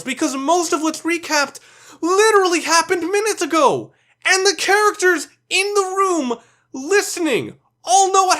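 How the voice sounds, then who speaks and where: A man's voice: sits high, fairly bright tone. A man narrating a countdown video as its reviewer, delivering opinionated scripted commentary in a blunt, sweary, emphatic way.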